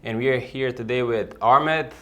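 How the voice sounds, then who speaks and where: A man's voice: medium pitch, normal speed, a bit bright. A man hosting a podcast, asking questions in a casual, conversational way. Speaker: podcast host. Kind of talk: casual interview chat.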